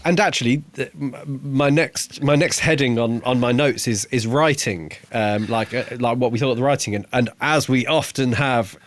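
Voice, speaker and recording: A man's voice: medium pitch, normal speed, hardly any pauses, no echo. A man co-hosting a podcast, casually reviewing a book with tentative, circling phrasing. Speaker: podcast co-host.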